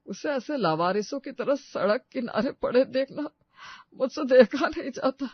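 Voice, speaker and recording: Casting: man playing older family patriarch, notably high voice, earnest and grieving, cartoon moral tale, dry slightly dull recording. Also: animated, measured pace, breathy edge, dry recording, exaggerated voice. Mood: sorrowful. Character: grieving, placating elderly family father mourning his Bittu. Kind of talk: Hindi moral story cartoon voice acting.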